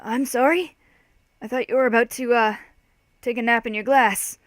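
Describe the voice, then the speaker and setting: Storybook young barman read by a woman: nervous, courteous, hesitant, slightly high voice. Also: animated, unhurried, no room sound, clean studio sound. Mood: apologetic. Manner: hesitant, apologetic, stammering. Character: polite, nervous young male bar worker.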